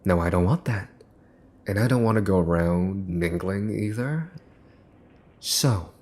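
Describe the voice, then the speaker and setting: A man playing a smug mafia boss's son in an ASMR roleplay, teasing and condescending as he makes cool, possessive threats with pauses for effect. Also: fairly low pitch, a little mellow. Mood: coolly demanding. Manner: teasing, smooth-talking, condescending, pausing for effect. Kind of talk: ASMR boyfriend roleplay, scripted monologue to listener.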